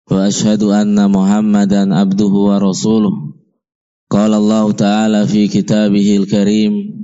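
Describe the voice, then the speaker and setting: A man opening a sermon with a slow, measured formal recitation. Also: fairly low pitch, dark tone, clear, resonant tone, dull audio. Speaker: preacher.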